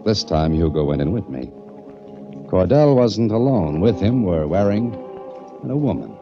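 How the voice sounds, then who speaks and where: An actor playing a hard-boiled adventurer who narrates and speaks in a 1940s radio drama, with wry, tough delivery. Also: male voice, low-pitched, sing-song, normal speed, music in the background. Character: hard-boiled male adventurer held captive. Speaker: narrator and lead. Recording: dull old radio recording.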